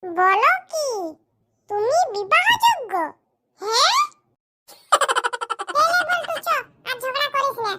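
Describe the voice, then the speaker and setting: A performer voicing a squeaky, indignant young man in a comedy cartoon, bickering. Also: very animated, takes it slowly, pauses often, very noisy, full character voice. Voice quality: squeaky, artificially high voice. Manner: scolding, bickering, rhetorical questions. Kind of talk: exaggerated comic cartoon dialogue in rural dialect.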